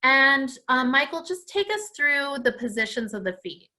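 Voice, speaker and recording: Bright, high-voiced woman leading a class. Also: big pitch swings, moderate pace. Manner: encouraging, full of praise. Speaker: dance class host leading children's stretches. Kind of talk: live guided lesson for an at-home audience.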